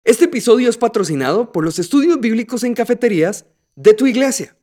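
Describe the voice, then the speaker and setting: A man's voice: very high voice, animated, brisk, a little mellow. A man with a Costa Rican accent, hosting a podcast in a scripted, explanatory style with a didactic, methodical manner. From Costa Rica. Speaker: podcast host.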